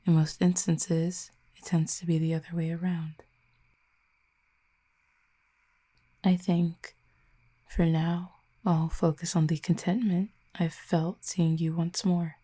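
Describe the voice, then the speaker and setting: A woman voicing a calm, reserved, quietly fond cupid in an intimate audio roleplay, speaking softly in measured, formal, gently teasing phrases. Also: on the low side. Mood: gentle, sincere. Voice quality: soft, breathy, hushed.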